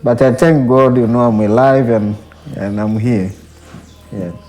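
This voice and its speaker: Slow-talking young Congolese man, halting delivery. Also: medium pitch, fairly even intonation, warm tone. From Democratic Republic of the Congo. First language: Swahili. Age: young adult. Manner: halting, often repeats phrases. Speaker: Benedictine monastic brother, interviewee. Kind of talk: reflective personal interview. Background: background music.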